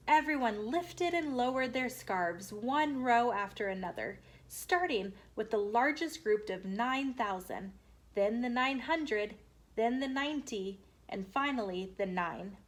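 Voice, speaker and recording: A woman hosting storytime, reading a children's book aloud in a measured, explanatory way. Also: on the high side. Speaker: storytime host.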